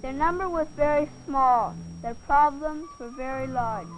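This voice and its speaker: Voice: high-pitched, measured pace, rough recording. A young performer playing an earnest schoolchild, reciting an essay carefully in a film drama. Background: music.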